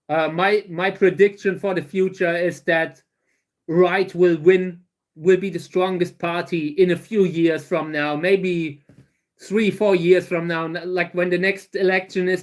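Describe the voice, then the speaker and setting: A German man in his thirties with a German accent, answering viewer questions on a casual livestream, halting and restarting his sentences often. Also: high voice. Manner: halting, many restarts and fillers. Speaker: livestream host.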